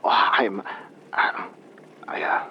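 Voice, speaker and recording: An actor playing a lonely, lovestruck male prison inmate calling a radio show, bashful and stammering over a phone line. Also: takes it slowly. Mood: nervous, evasive. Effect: phone caller filter. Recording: dull phone line with noise.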